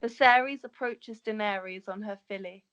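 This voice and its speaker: A woman's voice: medium pitch, normal speed, fairly bright tone, smooth, muffled recording. A woman narrating the stage directions of a script in an amateur table read, sometimes stumbling over words.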